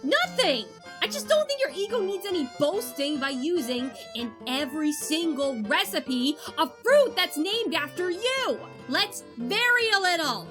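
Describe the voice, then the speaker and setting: Woman as an exasperated high-voiced cartoon princess. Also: a little slow, hardly any pauses, heavily acted. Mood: indignant. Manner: expressive, exasperated. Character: exasperated, sarcastic young cartoon princess, easily flustered. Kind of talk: fan comic dub, animated cartoon acting. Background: music.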